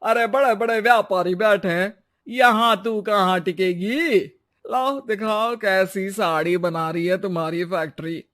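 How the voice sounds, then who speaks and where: A man's voice: high voice, fairly even intonation, measured pace, a bit bright. A man playing a blunt, scolding townsperson in a cartoon moral story, exclamatory and challenging. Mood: mocking.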